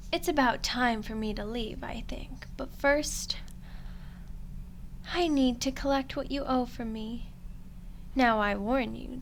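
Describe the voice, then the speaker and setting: An ancient demoness, played by a woman for a roleplay ASMR drama, speaks in a slightly high voice at a slow, deliberate pace. She is smug and condescending, with cool menace and dramatic pauses. Some background noise sits under the recording. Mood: coldly threatening.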